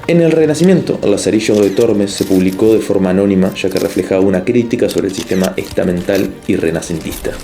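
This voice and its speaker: Un hombre que narra un ensayo en video con un tono pausado y explicativo. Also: male voice, deep voice, mellow, faint music behind. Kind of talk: scripted narration.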